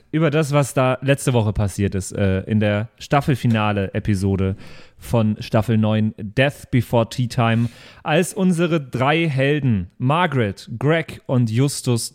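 Male podcast host, medium pitch, conversational and colloquial, openly emotive. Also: a little mellow. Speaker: podcast host and role-player. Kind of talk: casual podcast chat.

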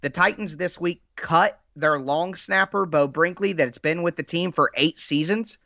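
A man's voice: fairly high pitch, normal speed, sharp tone, no echo, dull audio. A man with a slightly nasal voice, a podcast guest giving earnest, detailed football analysis in casual chat. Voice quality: a little nasal. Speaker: podcast guest, team podcast host. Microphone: remote call.

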